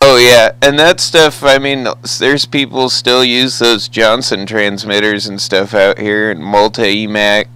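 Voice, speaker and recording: A man's voice: on the low side, little pitch movement, measured pace, hardly any pauses, bright, forceful tone, clear, resonant tone, low-quality recording. An American man chatting casually over the radio as a hobbyist, with an easygoing, folksy manner. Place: two-way CB radio conversation.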